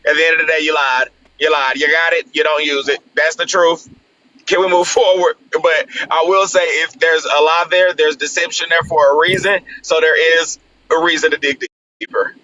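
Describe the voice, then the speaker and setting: A man hosting a live stream, keeping order in a firm, steady way and repeating his phrases for emphasis. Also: fairly high pitch, normal speed. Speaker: host. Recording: dull lo-fi stream audio with faint noise.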